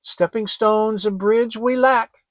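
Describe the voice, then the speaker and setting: A man's voice: high-pitched, fairly even intonation, slow pace, no echo, pitched above the performer's own voice. A man voicing an earnest, kind little girl with a pleading manner in a dramatic fairy tale reading. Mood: hopeful.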